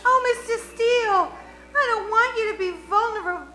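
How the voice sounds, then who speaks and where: A woman's voice: extremely high pitch, normal speed, sharp tone. A woman acting an anxious, devoted sweetheart in a comedic radio play, plaintive and melodramatic. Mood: anxious devotion. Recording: roomy stage, faint music.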